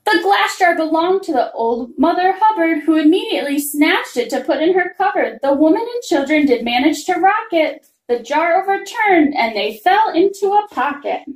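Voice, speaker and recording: A woman reading a rhyming children's story aloud in an expressive, singsong manner. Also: very high-pitched, normal speed, a little hiss or hum. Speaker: reader of a children's picture book. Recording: roomy, echoing room sound.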